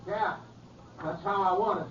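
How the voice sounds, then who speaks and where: A man's voice: sits high, fairly even intonation, normal speed, fairly bright tone, slight background noise. An actor playing a suspicious wanted man calling tersely from his hideout in a western radio drama. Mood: wary. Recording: distant, echoey, muffled old radio recording.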